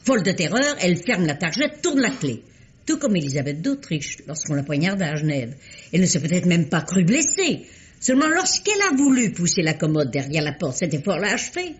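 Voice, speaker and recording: A woman's voice: on the low side, a little fast. An actress playing a shrewd, wry older woman who unravels a murder, in a theatrical old radio drama style. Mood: dramatic. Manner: crisp, theatrical, lively explanations. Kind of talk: 1950s French radio drama acting. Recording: muffled old radio recording.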